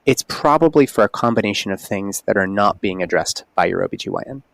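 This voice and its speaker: A man's voice: lowish voice, quick pace, vocal fry, no echo. A man speaking as a holistic OB-GYN guest on a podcast, explaining earnestly in casual conversation. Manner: earnest, explanatory, animated emphasis.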